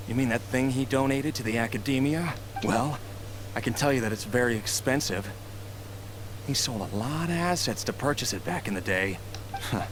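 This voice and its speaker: An actor playing a bitter, entitled grown son scheming for money in a video game, with a sneering, resentful manner. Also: male voice, fairly low pitch, noisy background, close to an everyday voice. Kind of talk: English video game voice acting. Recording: music clearly behind.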